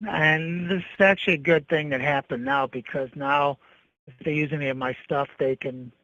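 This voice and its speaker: A man with a fairly high voice, calling in as a guest over a muffled, lo-fi phone line. He speaks in a plainspoken, matter-of-fact way, and the sound is dry. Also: steady tone, normal speed, pushed and bright, no room sound. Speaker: caller, guest photographer. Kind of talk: casual interview talk. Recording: dull lo-fi phone call-in.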